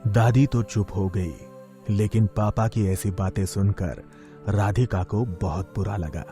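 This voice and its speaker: Low-pitched man narrating a story. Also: steady tone, a little slow, warm tone, faint music behind. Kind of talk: scripted moral-story narration.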